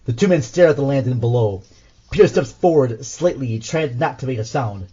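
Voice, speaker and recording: A man's voice: mid-range voice, lively intonation, normal speed, mellow, lo-fi sound, a little hiss or hum. A man reading scripted audio drama narration as the host.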